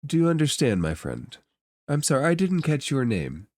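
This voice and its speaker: A man voicing a courteous, enigmatic stranger in a tabletop space fantasy game, formal, unhurried and ingratiating. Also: mid-range voice, wildly swooping pitch, a little mellow, no echo. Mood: courteous, measured.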